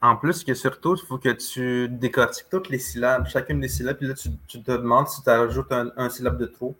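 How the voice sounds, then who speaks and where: A man with a Quebec French accent co-hosting a podcast, talking casually in a hesitant, halting way. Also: mid-range voice, steady tone, bright, forceful tone, breathy edge. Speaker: podcast co-host. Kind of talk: casual conversation.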